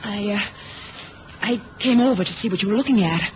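Old muffled radio play, woman acting earnest young lady on spy job, tentative, medium pitch. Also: brisk, lightly acted. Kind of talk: 1970s radio drama acting. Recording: muffled, faintly noisy old radio recording.

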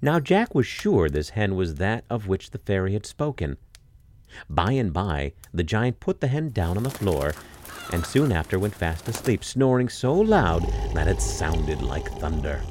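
A man narrating a fairy tale as an expressive storyteller, reading from a script. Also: low-pitched, a little slow, slight background noise. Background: music under the voice.

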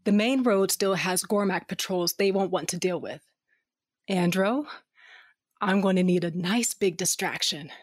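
A woman's voice: on the low side, measured pace. A woman voicing a tough, sardonic fighter with a cybernetic eye who narrates in the first person, blunt and profane with dry humor. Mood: gruff, wry.

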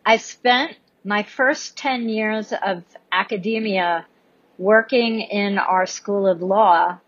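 A woman academic, a guest in an interview, speaking in an assertive and measured way. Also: medium pitch, slightly rough, dry recording, dull audio. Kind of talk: interview answer.

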